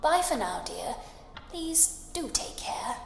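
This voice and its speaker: High, echoey, caring wife. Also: female voice, very animated, slow, gravelly, exaggerated voice. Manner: gentle, affectionate, proper. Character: caring, gently worried Victorian wife of a detective. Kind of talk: video game voice acting. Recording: echoey long reverb with music. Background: music.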